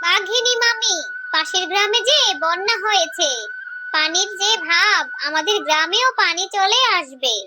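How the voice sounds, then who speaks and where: A woman's voice: extremely high pitch, measured pace, background noise. A woman voicing an anxious, kind-hearted fox mother in a children's cartoon, fretful and pleading. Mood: earnest. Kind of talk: Bengali children's cartoon voice acting.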